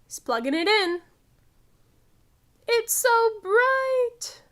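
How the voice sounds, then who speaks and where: A woman with a high voice leads a storytime for young children. She reads at a slow, deliberate pace and adds short asides in an expressive way. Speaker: children's librarian, storytime reader. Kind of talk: read-aloud picture book storytime for young children.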